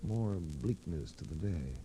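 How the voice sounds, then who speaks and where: An actor playing a wry, tough private investigator in a 1940s radio drama, with an American accent and clipped delivery. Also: male voice, deep voice, lively intonation, unhurried, warm tone, low-quality recording. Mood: somber. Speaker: lead actor and narrator. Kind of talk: 1940s radio detective acting. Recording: vintage 1940s radio recording with music.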